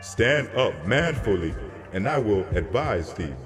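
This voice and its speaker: Commanding scriptural angel, male performer, deep-leaning and unhurried, measured archaic delivery, long echoing reverb. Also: big pitch swings, soft background music. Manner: stately, measured, authoritative, archaic phrasing. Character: commanding, comforting male angel explaining a vision. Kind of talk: dramatized scripture reading.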